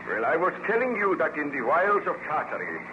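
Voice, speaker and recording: Haunted nobleman, formal and intense, slightly high pitch, vintage dull-sounding radio drama. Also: wildly swooping pitch, brisk. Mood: grave recounting. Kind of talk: 1930s-40s radio serial acting. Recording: noisy muffled old radio recording.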